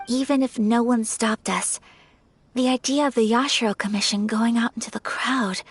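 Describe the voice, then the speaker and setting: Ayaka, high-voiced refined young noblewoman. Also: slightly rough, dry recording. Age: young adult. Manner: polite, formal, measured, hesitant. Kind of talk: video game character acting.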